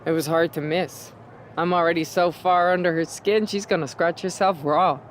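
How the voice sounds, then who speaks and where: A woman's voice: lowish voice, fairly even intonation, moderate pace, a little hiss or hum, lightly acted. A woman playing a determined, sly Irish pro wrestler in a video game story scene. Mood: sly, confident.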